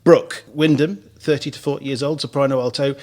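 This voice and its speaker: A chatty man in his fifties hosts a relaxed radio studio conversation. His voice sits at an ordinary middle pitch. He laughs easily and trips over his own restarts. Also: a little fast. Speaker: radio podcast host. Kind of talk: casual studio chat.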